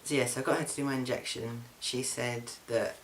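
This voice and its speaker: Flat-voiced young Englishman vlogging. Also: medium pitch, brisk, slight background noise. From England. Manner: chatty, frequent ums and restarts. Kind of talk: casual video diary. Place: flat, indoors.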